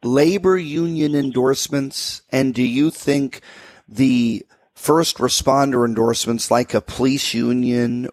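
A man's voice: mid-range voice, darkish tone, dry recording, studio quality. A man hosting a political talk radio show, conversational and opinionated, who asks long, winding questions. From Alaska.

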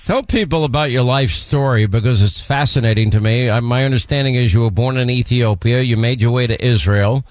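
A man hosting a political talk radio show, speaking in a brisk, opinionated way. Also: medium pitch, no echo.

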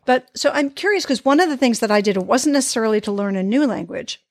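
A woman's voice: a little high, lively intonation, fast, no echo. A woman born in the United States hosting an interview, enthusiastic and affirming, asking long, rambling questions. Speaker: interview host. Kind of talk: casual interview conversation.

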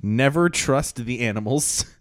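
A man's voice: medium pitch, expressive, a little slow, dry recording. A man hosting a podcast in casual chat, laid-back and rambling, with lots of fillers. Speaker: podcast host.

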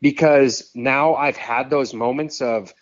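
A man's voice: mid-range voice, fairly even intonation, no room sound. Rambling thirtysomething man, muffled sound. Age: 30s. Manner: rambling, quick, full of "like" and "you know". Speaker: podcast guest. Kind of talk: casual conversation.

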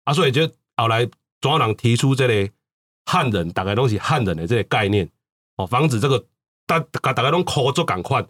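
A man's voice: medium pitch, expressive, a little fast, a bit bright. A man with a Taiwanese accent explaining things casually as a talk-show host, often repeating words for emphasis. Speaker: podcast host.

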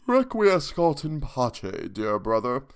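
Pompous old vampire count, put on by a man, florid and haughty, high and lively, audiobook. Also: slow pace, clear, resonant tone, heavily acted.

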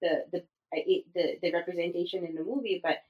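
Animated woman from Singapore, chatty guest. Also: lowish voice, a little fast, highs cut off. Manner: animated, many fillers and restarts, laughs often. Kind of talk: casual interview chat.

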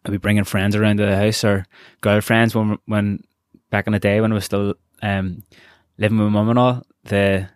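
A young Irish man hosting a podcast, chatting in a relaxed, rambling way. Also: lowish voice, normal speed, hardly any pauses, clean tone, no echo, clean studio sound. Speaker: podcast host. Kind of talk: casual podcast chat.

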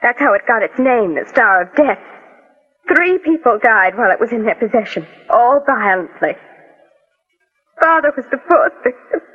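An actress playing an anxious, bereaved young American daughter in a 1940s radio drama, polite and earnestly pleading. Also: female voice, on the high side, lively intonation, moderate pace, long pauses, husky. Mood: grieving, distressed. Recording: tinny, reverberant old radio recording with music.

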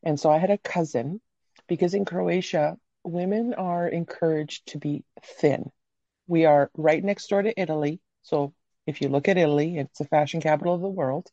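Low, dark-voiced candid woman. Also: moderate pace, no echo, muffled recording. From Canada. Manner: candid, rambling storyteller with casual swearing. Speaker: podcast guest. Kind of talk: casual interview chat.

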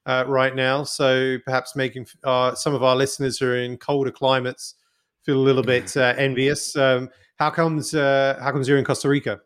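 A male podcast host at a middle pitch asks his guest about himself. He hesitates constantly, filling with uh and um and doubling words as he goes. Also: level tone, normal speed, a bit bright, clean tone. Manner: hesitant, many uh and um, repeats words. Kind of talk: casual interview questions.

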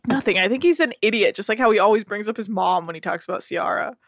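A woman's voice: medium pitch, fast, a bit bright, dry recording. A woman co-hosting a casual podcast, recapping a reality show with sarcastic, dry asides. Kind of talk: casual chat.

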